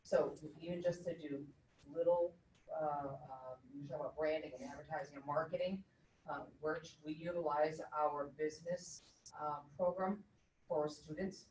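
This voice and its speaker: A woman with a low voice speaks loudly in a meeting, stopping often on fillers and restarts. She sounds distant from the mic, and the room is echoey with a faint hum behind her. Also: fairly even intonation, normal speed. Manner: loud, with many fillers and restarts. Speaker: meeting participant from higher education. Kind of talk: spoken comment in a group discussion.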